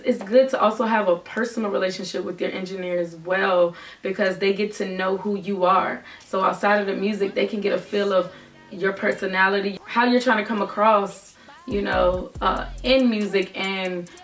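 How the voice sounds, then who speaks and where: Casting: young woman, naturally raspy, earnest casual vlog talk, a bit off-mic over soft music. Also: mid-range voice, steady tone, normal speed. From Virginia, United States. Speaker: rapper and vlogger. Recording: voice from a distance with faint music.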